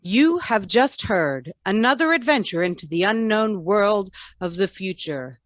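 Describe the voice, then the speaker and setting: A woman's voice: mid-range voice, lively intonation, unhurried, dry recording, soft background music. A woman hosting an old-time radio drama, reading scripted announcements. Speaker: host and announcer.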